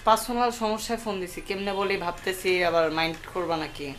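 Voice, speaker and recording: A man's voice: high-pitched, fast talker, a bit bright. A young man with a Bangladeshi accent chatting casually in slang with bits of English, as a prank caller. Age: young adult. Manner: slangy, mixes in English words. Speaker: prank caller and channel host. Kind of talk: casual prank phone call chat. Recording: noisy amateur phone-call recording.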